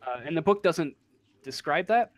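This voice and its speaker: A man's voice: fairly high pitch, lively intonation, moderate pace. A man acting as game master, casually explaining a tabletop roleplaying game in a hesitant way, as if thinking aloud.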